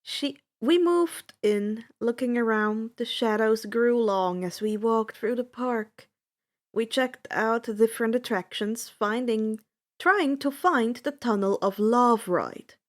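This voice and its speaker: Slow-paced, high-voiced woman reading stories. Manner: theatrical, acts out dialogue. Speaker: host reading fan fiction aloud on own channel. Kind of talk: expressive amateur audiobook narration.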